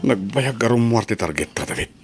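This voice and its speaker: A man's voice: medium pitch, expressive, brisk, over music, lightly acted. A man with an Ilocano accent playing a troubled, defensive young son drifting into crime in an audio drama. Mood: uneasy. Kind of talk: melodramatic Ilocano radio-style drama acting.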